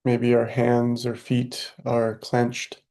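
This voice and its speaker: A man guides a meditation with a very flat, barely moving pitch and a creaky edge to his voice. The tone is dark and mellow. He is calm and soothing, leaving long silences between short phrases. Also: slow. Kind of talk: guided meditation, spoken softly with long pauses.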